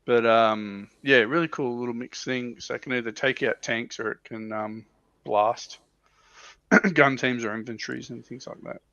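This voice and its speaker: Creaky-voiced man on a lo-fi stream. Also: medium pitch, fairly even intonation, normal speed, pushed and bright, no echo. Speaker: livestream co-host. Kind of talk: casual explanation of tabletop game units.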